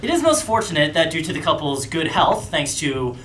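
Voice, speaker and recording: Casting: female comic storyteller, mid-pitched with big swoops, echoey noisy room. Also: very animated, a little fast. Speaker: puppet show storyteller. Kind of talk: scripted live story narration, comic asides.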